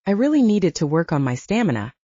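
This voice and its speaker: A woman's voice: on the low side, big pitch swings, fast, mellow, no echo, highs cut off. A woman reading a first-person audiobook story as its narrator. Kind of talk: first-person fiction narration with acted dialogue.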